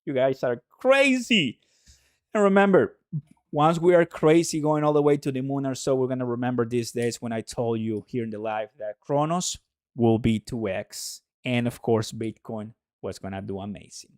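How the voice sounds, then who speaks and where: A man from Mexico, a native Spanish speaker with a Mexican accent, hosting a livestream in an excitable, hype-driven way and repeating phrases for emphasis. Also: mid-range voice, moderate pace, clear, resonant tone, dry recording, clean studio sound. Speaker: livestream host. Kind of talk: excitable livestream commentary to viewers.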